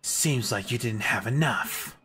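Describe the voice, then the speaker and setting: A man voicing a brooding, aloof hedgehog anti-hero in a cartoon comic dub, terse, cold and deadpan, with gravel in the voice. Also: mid-range voice, unhurried, noisy background, close to an everyday voice. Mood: defiant. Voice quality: husky, gravelly. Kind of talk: fan comic dub acting.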